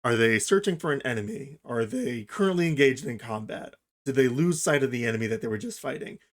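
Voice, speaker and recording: Guy doing a casual screen tutorial, medium pitch, explains stuff step by step, pretty informal. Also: animated, fairly quick. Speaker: tutorial host. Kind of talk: casual software tutorial narration.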